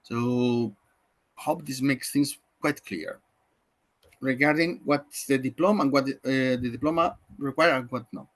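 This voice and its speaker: A man's voice: medium pitch, unhurried. A man from Spain with a Spanish accent, explaining things in a webinar over a video call, talking loosely with many fillers and self-corrections. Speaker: webinar trainer.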